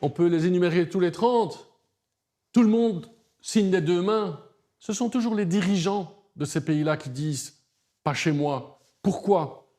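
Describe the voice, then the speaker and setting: A middle-aged man speaks in a mellow, slightly high voice. He is articulate and earnest, building his points with emphasis as an interview guest. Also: a little slow. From Belgium. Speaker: human rights lawyer, interview guest.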